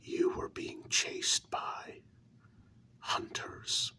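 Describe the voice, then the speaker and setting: A performer whispering slowly and breathily in an ASMR role-play, playing a gentle, candid human confiding in a vampire partner. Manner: slow, hushed, intimate, with pauses.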